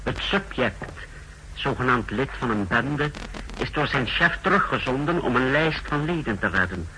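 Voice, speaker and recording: A sharp, deferential secret-service official. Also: male voice, mid-range voice, lively intonation, moderate pace, a little hiss or hum, rough recording. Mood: clinical, businesslike. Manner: formal, deferential, clinical. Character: deferential, precise male secret-service laboratory chief. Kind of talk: 1960s Dutch radio drama acting. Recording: vintage 1960s radio recording.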